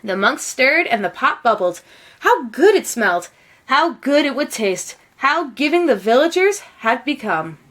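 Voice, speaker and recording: A woman reading a children's picture book aloud in an expressive, measured storytelling manner. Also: sits high.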